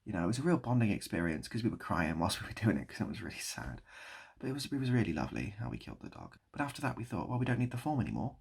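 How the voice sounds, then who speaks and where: A British man improvising a rambling story and chatting as a video host, mock-nostalgic and often repeating his phrases, with a husky, gravelly voice. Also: deep voice, very animated, very fast. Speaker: online video creator and host.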